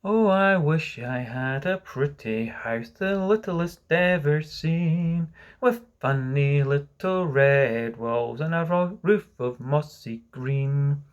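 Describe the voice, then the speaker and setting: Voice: mid-range voice, highs cut off, close to an everyday voice. A storybook reader voicing a dreamy, wishful girl who sings as if asleep. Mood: dreamy, singing. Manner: slow, sung, wishful. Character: Wendy, dreamy girl singing in her sleep. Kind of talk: storybook reading with character voices.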